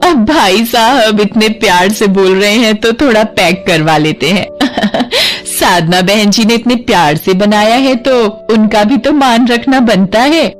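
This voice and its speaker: A woman's voice: mid-range voice, unhurried, soft background music, lightly acted. A woman voicing a gluttonous middle-aged mother-in-law in a cartoon, coy and wheedling. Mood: greedy, sweet. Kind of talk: cartoon voice acting.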